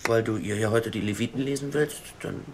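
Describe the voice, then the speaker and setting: A man's voice: fairly low pitch, fairly quick, background noise, close to an everyday voice. An actor playing a hesitant male tech colleague who rambles eagerly, in a radio play.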